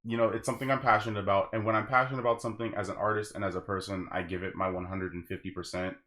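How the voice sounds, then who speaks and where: Male podcast host, low voice, earnest and rambling, speaking casually to his audience. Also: level tone, very fast, bright, forceful tone. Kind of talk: casual podcast sign-off addressed to listeners.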